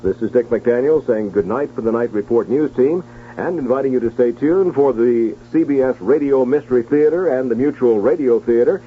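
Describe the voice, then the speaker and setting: A male news announcer with a fairly animated delivery reads a scripted radio sign-off. The sound is thin and phone-like, with a faint hum. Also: medium pitch.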